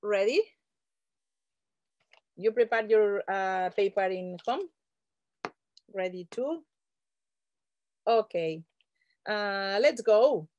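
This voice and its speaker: A woman's voice: medium pitch, drawn-out words, pauses often, a little mellow, lo-fi sound. A woman leading an activity, giving short, simple, repeated commands. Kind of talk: instructing an audience.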